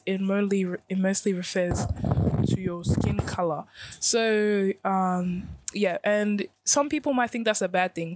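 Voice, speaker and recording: A woman's voice: medium pitch, slight background noise. A teenage girl co-hosting a youth panel discussion, speaking earnestly and thoughtfully, often restarting phrases as she explains. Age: teens. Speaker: youth podcast co-host.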